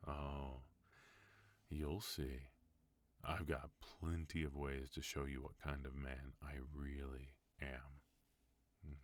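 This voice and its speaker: A man playing a deep-voiced mafia boss husband. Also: little pitch movement. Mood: teasing, menacing. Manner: soft, intimate, unhurried delivery. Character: protective, tender yet dangerous male mafia boss husband. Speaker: voice actor. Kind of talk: ASMR roleplay. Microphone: close microphone.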